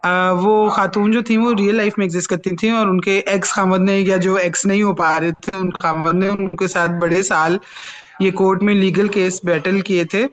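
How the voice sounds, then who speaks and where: A woman's voice: mid-range voice, fairly even intonation, normal speed. Woman, Pakistani accent, talk show host, casual reviewing, English phrases mixed in, faint noise and clicks. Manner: mixes Urdu with English phrases. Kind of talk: casual film review chat.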